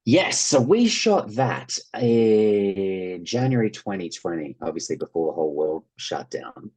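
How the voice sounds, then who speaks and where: An Australian man chatting casually as an interview guest, telling anecdotes in an animated way and acting out quoted remarks. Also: sits low, unhurried. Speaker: interview guest, actor and writer.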